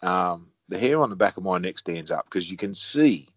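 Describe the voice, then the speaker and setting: A man's voice: low-pitched, expressive, moderate pace, no room sound, highs cut off. A man, a head referee talking casually in a wry, blunt way. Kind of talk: casual conversation.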